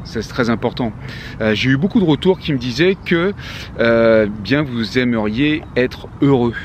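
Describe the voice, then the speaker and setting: A man's voice: mid-range voice, normal speed, a little hiss or hum. A French man in his fifties, talking casually to camera from a park bench, chatty, with many fillers and restarts. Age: 50s. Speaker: vlogger, life coach. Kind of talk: casual motivational talk to camera.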